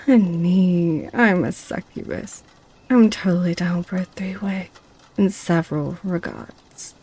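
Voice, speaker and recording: A woman's voice: on the low side, slow pace, darkish tone, husky, full character voice. A woman playing a seductive, possessive succubus in an ASMR roleplay, with a teasing, sly way of talking. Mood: playful, coaxing. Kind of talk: ASMR roleplay audio drama.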